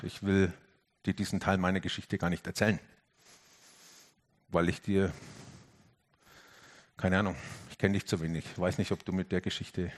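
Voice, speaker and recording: Earnest preacher, lowish voice. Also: male voice. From Franconia, Germany. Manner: earnest, swings between emphatic lifts and hushed, quiet passages. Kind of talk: reflective sermon.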